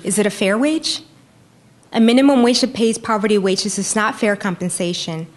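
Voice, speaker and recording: Woman from Peru, debater at a lectern, earnest and emphatic, mid-pitched voice. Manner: earnest, emphatic, repeating key phrases. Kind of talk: prepared debate speech. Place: university lecture hall.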